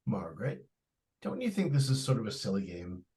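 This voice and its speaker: A man's voice: lowish voice, very animated, fairly quick, dark tone. A man plays a skeptical middle-aged father in 1940s radio style. His delivery swoops up and down, indulgent and dismissive, with little hesitant stammers. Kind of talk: 1940s radio drama acting.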